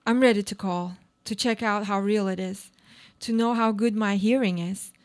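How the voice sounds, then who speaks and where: An actress playing a brooding, cynical, uneasy married woman in a literary radio play, speaking in a deliberate, poetic way. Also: medium pitch, steady tone, lightly acted. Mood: searching, frustrated.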